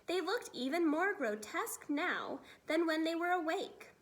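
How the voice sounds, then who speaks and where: Female storybook reader, teacherly read-aloud narration, high voice with lively swings in pitch. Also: a little slow.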